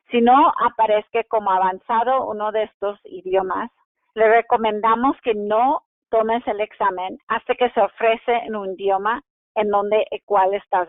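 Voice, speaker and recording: A woman presents a webinar, reading each audience question and then explaining the answer at an even, measured pace. She now and then restarts a phrase. Her voice comes through a narrow, tinny phone-like channel that is completely dry. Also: medium pitch, no room sound. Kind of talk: translated webinar Q&A, read from script.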